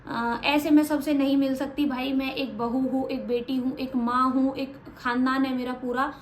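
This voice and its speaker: A woman's voice: high voice, level tone, normal speed, echoey room, slight background noise. A woman, answering viewer questions in a casual vlog, frank and matter-of-fact. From Haryana, India. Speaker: vlogger.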